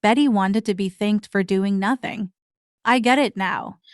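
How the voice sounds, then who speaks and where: A woman's voice: mid-range voice, measured pace, dry recording, studio quality. A woman hosting a reaction commentary channel, speaking bluntly and mockingly in a scripted way.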